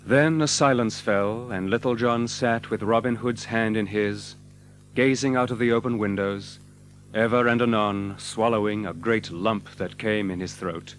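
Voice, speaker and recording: Low-voiced man narrating a dramatic tale. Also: slight background noise. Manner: expressive, measured literary delivery. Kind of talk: dramatic scripted storybook narration.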